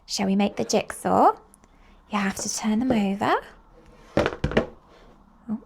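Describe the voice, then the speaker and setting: A woman reading picture books aloud to young children in an expressive, slow storytelling manner. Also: mid-range voice, creaky, noisy background. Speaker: children's storybook reader and host.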